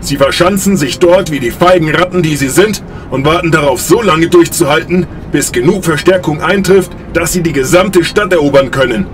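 A man acts out a crude, battle-hardened legion commander in a sci-fi audio drama. His voice sits a little high for a man, gruff in manner and soldierly. Music runs faintly behind him. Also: moderate pace, few pauses, close to an everyday voice. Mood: fierce, scornful. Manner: crude, martial briefing. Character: gruff, foul-mouthed male sci-fi legion commander briefing troops. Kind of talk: science fiction audio drama acting.

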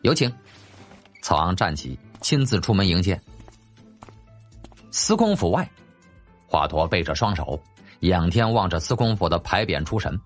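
A man's voice: deep voice, big pitch swings, moderate pace, long pauses, faint music behind. A man narrating an audiobook in a dramatic, scripted storytelling style.

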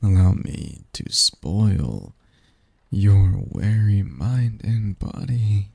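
A man voicing a seductive, doting sleep demon lover in an intimate ASMR roleplay, breathy and coaxing, close to the mic. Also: on the low side, animated, mellow, no room sound, heavily acted. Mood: seductive, soothing. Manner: slow, coaxing, teasing, murmured. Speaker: roleplay audio performer. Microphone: close, dry microphone.

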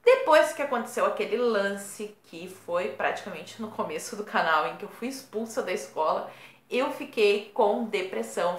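A woman's voice: medium pitch, echoey room. A woman in her thirties with a Rio Grande do Sul Brazilian accent, chatting playfully and expressively to camera in a small room. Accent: Rio Grande do Sul Brazilian Portuguese. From born in São Paulo, raised in Rio Grande do Sul. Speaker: YouTuber. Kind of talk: casual vlog to camera. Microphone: camera at close range.

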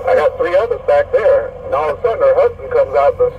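A man's voice: on the high side, expressive, quick pace. A man casually telling stories as a trucker, heard over a CB radio. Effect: CB radio transmission. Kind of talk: casual storytelling. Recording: dull distant CB speaker, vehicle noise. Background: faint vehicle noise.

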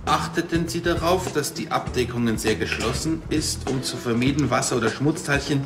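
A man's voice: medium pitch, normal speed, lightly acted. An actor playing a puzzled, irritable man in a TV crime comedy, stilted in delivery. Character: baffled, irritable policeman reading a garbled manual. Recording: echoey room with music behind.

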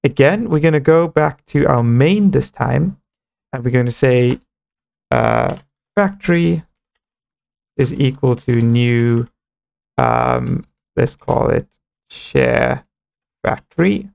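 A man's voice: medium pitch, big pitch swings, slow, vocal fry, pauses often, darkish tone. A man in his thirties giving an informal step-by-step coding tutorial, thinking aloud, on a close headset mic. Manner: step-by-step explaining, often starting with okay and cool. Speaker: programming tutorial presenter.

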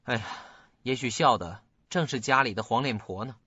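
An actor playing a guilt-ridden, bitter student in a suspense radio drama, with halting dramatic delivery. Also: male voice, medium pitch, pushed and bright, husky, dry recording. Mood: bitter, sarcastic. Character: guilt-ridden, bitter student living with mother, haunted by calls. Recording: dull lo-fi audio, faint music.

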